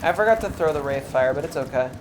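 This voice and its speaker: Young male streamer in his twenties, high tenor voice, loose rambling chat, clear background noise. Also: quick pace. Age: 20s. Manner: relaxed, rambling, thinks aloud. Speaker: live streamer. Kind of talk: casual chat while gaming.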